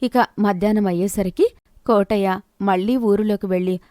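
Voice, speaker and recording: A female narrator tells a scripted moral tale. Her voice sits at a medium pitch, and the recording is dry, with hardly any room sound. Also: normal speed, warm tone, dry recording.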